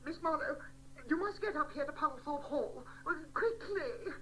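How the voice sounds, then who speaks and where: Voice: high voice, lively intonation, moderate pace, bright, forceful tone. A performer playing a flustered, pompous, stammering aristocrat on a telephone in a comic radio drama. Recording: noisy, humming old cassette radio phone call.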